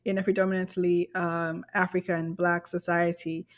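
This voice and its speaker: A woman's voice: lowish voice, little pitch movement, normal speed. Candid woman chatting casually. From Kenya. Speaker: podcast co-host. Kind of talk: casual conversational chat.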